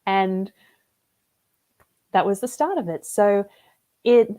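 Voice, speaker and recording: A woman with an Australian accent, a debut novelist talking about her book in a relaxed, chatty live interview over a video call. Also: medium pitch, takes it slowly. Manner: chatty and relaxed, with frequent "like" and "um" fillers.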